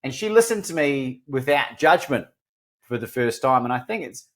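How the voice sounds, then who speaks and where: A man's voice: mid-range voice, lively intonation, normal speed, a bit bright. Middle-aged man, Kiwi-Australian accent, mid-range voice, earnest and self-mocking podcast guest. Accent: mixed New Zealand and Australian. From New Zealand. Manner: earnest, self-deprecating, frequent restarts. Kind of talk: candid storytelling interview.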